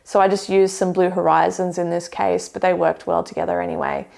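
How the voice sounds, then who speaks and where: A young woman seated in a living room, giving a measured, detailed review of speakers to camera. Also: fairly low pitch, little pitch movement, gravelly, darkish tone. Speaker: audio equipment reviewer. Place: living room with hi-fi shelves, indoors.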